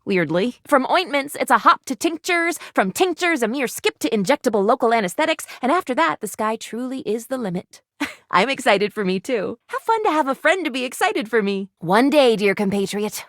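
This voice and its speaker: A woman's voice: fairly high pitch, a bit bright, dry recording, clean studio sound. A woman voicing an eccentric, eager medical student in a video game, with a precise, wordy, earnestly awkward way of talking. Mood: flustered, delighted, then wistful, musing. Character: eccentric, eager female medical student, a household object come to life. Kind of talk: animated video game character dialogue.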